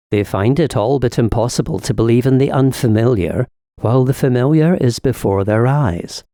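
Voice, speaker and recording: A man's voice: medium pitch, warm tone, dry recording, clean studio sound. A man voicing an urbane senior demon in a dramatic audiobook, lecturing his young nephew with pompous, sly smugness. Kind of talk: dramatic audiobook reading of epistolary satire.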